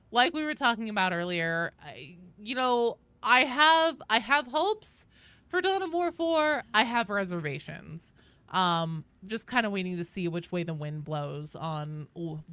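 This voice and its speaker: A woman's voice: on the low side, fairly bright tone, smooth. A woman in her late thirties chatting casually on a game livestream and reading out viewer messages, informal and sweary. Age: late 30s. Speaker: game streamer.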